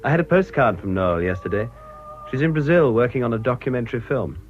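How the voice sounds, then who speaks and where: An actor playing a mild, modest parish clergyman in a radio drama, courteous and gentle. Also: mid-range voice, animated, quick pace, close to an everyday voice. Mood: apologetic, mild. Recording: dull radio recording, faint music behind.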